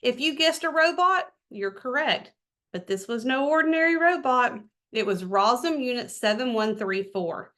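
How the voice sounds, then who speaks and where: A woman hosting a children's read-aloud, expressive and enthusiastic, talking straight to her young listeners in a casual way. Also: on the high side, moderate pace. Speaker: host of a children's book read-aloud show. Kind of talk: reading a children's novel aloud with casual chatty asides.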